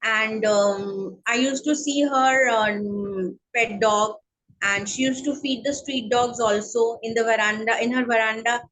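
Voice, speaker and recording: A woman with a Bengali accent speaking English as a guest in an interview, slow and hesitant with many fillers. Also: fairly high pitch, fairly even intonation, a bit bright, rough recording. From India. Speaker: guest, counselling psychologist. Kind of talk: online interview, casual chat.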